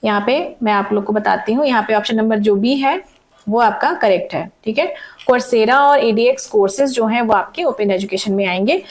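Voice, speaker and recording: A woman with a sore throat teaching an exam-prep lesson, reading questions out slowly and checking in with students often. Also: fairly high pitch. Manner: frequent "theek hai" checks, reads questions slowly in English. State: sore throat, voice cracks at times. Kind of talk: exam-prep lesson mixing Hindi and English.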